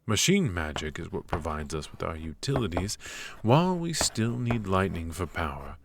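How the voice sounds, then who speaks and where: A man playing a cold, strict royal magic professor in an ASMR roleplay, speaking in a measured, clipped, lecturing way. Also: creaky, slight background noise. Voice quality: low and cool, measured.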